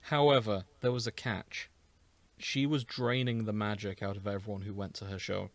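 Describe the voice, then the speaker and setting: A man's voice: fairly low pitch, little pitch movement, normal speed. A man hosting a casual chat podcast, explaining a story in a storytelling way. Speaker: podcast host.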